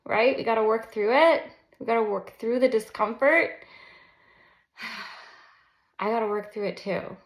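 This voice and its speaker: A woman in her early forties talking candidly and a little nervously in an unscripted personal vlog, halting and self-conscious. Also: fairly high pitch. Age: early 40s. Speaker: counselor and children's book author. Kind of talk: unscripted personal vlog, reading own manuscript. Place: indoors at a desk, live unedited home recording. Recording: roomy echo of an untreated room.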